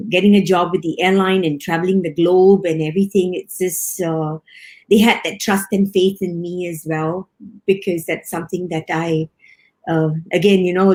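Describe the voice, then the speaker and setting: A woman's voice: fairly low pitch, a little mellow. A woman in her fifties from Singapore, a hospital director, talking casually and chattily in an interview, with many restarts and frequent laughs.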